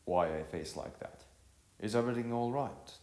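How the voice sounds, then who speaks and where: A man's voice: medium pitch, moderate pace, a little mellow, echoey room, voice pushed up, close to an everyday voice. A man voicing a big, shy, tender-hearted boy in a narrated story, hesitant and stammering. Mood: worried.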